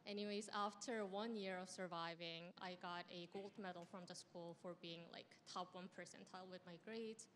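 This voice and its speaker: A woman's voice: fairly low pitch, normal speed, hardly any pauses. Young Korean-born woman in a reverberant hall. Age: young adult. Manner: animated, laughing through her story. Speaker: startup co-founder on a panel. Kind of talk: storytelling on a stage panel. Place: large lecture room with an audience. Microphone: handheld microphone. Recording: long hall reverb, faint noise.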